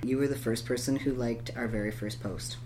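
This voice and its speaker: A woman's voice: a bass voice, fairly even intonation, fairly quick, mellow. A woman co-hosting a casual podcast chat, leading the talk and laughing easily, with a faint hum behind her. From Canada. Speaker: podcast co-host.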